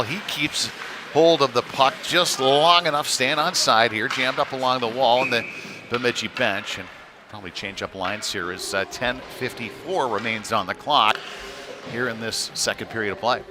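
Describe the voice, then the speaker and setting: A man calling live hockey play-by-play in halting, unscripted phrases with trailing pauses. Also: mid-range voice. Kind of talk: live sports commentary. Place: indoor ice rink during a live stream. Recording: noisy rink ambience behind the voice.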